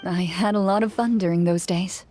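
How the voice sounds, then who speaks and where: An actress playing a calm young woman duelist in a video game, speaking in a measured, formal manner. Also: fairly low pitch, faint music behind, close to an everyday voice. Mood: quietly reminiscent. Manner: composed, measured, formal wording. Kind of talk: scripted game dialogue.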